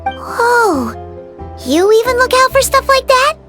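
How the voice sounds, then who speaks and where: Game fairy sidekick: tiny, excitable little girl, squeaky, very high, loud music behind. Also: takes it slowly, exaggerated voice. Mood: surprised, teasing. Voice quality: childlike, squeaky. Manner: speaks of herself in the third person, animated. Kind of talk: video game character acting.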